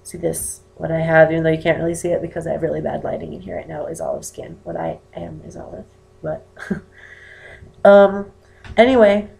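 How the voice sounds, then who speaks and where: An eighteen-year-old man with an unusually high-pitched voice and damaged vocal cords, talking casually to camera and rambling with many ums. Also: expressive, normal speed, gravelly, a little mellow. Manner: rambling, with many "um"s and self-corrections. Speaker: vlogger. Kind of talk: casual personal talk to camera. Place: indoor room with poor lighting.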